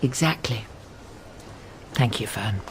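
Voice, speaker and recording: A woman's voice: rumbling low, expressive, takes it slowly, a little hiss or hum, heavily acted. A woman playing a sardonic, snobbish older grandmother with a dry, arch, tactless manner in a radio drama. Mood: approving.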